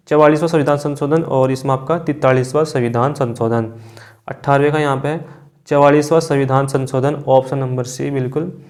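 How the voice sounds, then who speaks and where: Higher-pitched male exam teacher. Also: a little mellow. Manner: instructional, repetitive confirmations and verbal tags. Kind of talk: quiz lesson, reading questions and options then giving answers.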